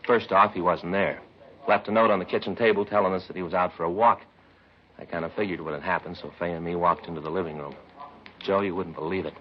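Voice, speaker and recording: A male actor plays a grumbling American family-man detective in a 1950s radio drama. His voice is low and his delivery folksy and aggrieved. The old recording is muffled with some hiss. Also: fast, close to an everyday voice. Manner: folksy, aggrieved deadpan storytelling.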